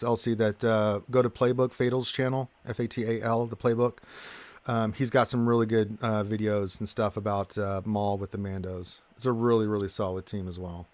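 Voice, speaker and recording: Animated American man hosting a gaming stream. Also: medium pitch, no echo. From Houston, Texas, United States. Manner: animated, jokey, exclaiming. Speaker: gaming livestream host. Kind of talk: casual livestream chat, reading and answering viewer comments.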